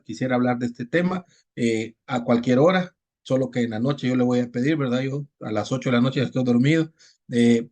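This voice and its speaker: A man acting as pastor and teacher, welcoming students to an online class in a relaxed way and often adding "¿verdad?". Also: mid-range voice. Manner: relaxed, repeats words and adds "¿verdad?" often. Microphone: video call.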